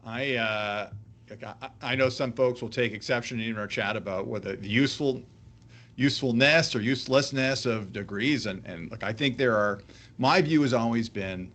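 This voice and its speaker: A man from Canada hosting a casual panel discussion, making his points in a measured way. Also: medium pitch, a bit bright. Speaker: host, former intelligence officer.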